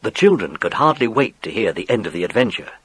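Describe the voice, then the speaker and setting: A man's voice: on the low side, sing-song, fast talker, a bit bright, husky, no room sound. A man narrating a scripted children's story as the narrator of an audiobook play.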